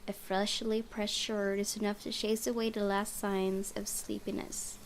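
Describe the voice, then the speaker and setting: A woman reading visual novel narration aloud for a let's play, with expressive, theatrical storytelling. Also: mid-range voice, measured pace, a little hiss or hum. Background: music.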